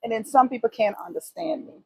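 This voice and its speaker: A woman in her forties with a Guyanese accent speaks earnestly, often repeating her key points for emphasis. Her voice is breathy and husky, recorded dry and close. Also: on the high side, steady tone, fast, no room sound. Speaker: guest, esthetician and business owner. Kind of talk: casual interview answers.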